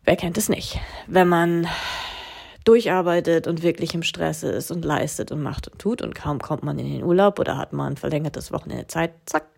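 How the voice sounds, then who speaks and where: Woman, 30s, podcast host with a cold: fry-laden, hoarse, reflective, phone recording. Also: sits low, normal speed, a little mellow. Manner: thoughtful, at times breathy and whispery. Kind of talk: casual reflective podcast monologue.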